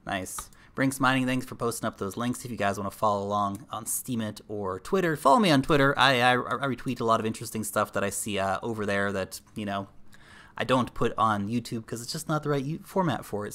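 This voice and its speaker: A man's voice: lowish voice, brisk, sharp tone. A young man with an American accent, hosting a casual livestream, reading out viewer comments and chatting with fillers along the way. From New Zealand. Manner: chatty, addresses viewers as guys, thinks aloud with fillers. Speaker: livestream host.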